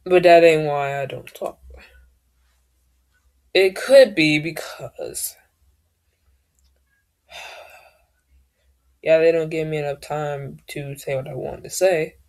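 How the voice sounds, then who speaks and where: A woman with a naturally deep, low-pitched voice, sharing a personal story straight to camera. She speaks slowly and unhurriedly. She often pauses, hesitates and trails off as she searches for the right words. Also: steady tone, darkish tone. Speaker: vlogger.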